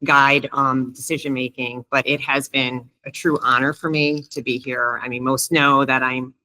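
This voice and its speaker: Departing board member, female, bright voice, halting and filler-heavy. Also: rumbling low, flat delivery, poor audio. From New York. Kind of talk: casual meeting remarks.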